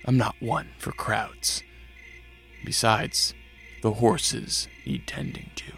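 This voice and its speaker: An actor playing a grave, brooding vampire who also narrates a radio drama in a measured way. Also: male voice, lowish voice, fairly even intonation, a bit bright, soft background music. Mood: grave, guarded.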